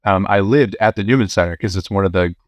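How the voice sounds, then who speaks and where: Young male priest, deep voice, casual storytelling, lots of "um", dry close mic. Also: quick pace, no room sound. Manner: relaxed, many fillers and restarts, occasional laughs. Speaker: priest and university chaplain.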